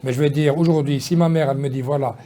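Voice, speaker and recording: A man's voice: a little high, steady tone, quick pace, mellow. A man, talking casually in an interview, rambling with many fillers and restarts. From Belgium. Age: 50s. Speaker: politician guest.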